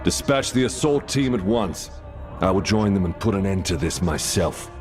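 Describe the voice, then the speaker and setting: Extremely deep, grave space knight. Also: male voice, expressive, normal speed, over music. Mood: resolute. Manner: grave, stately. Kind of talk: epic scripted game narration and dialogue.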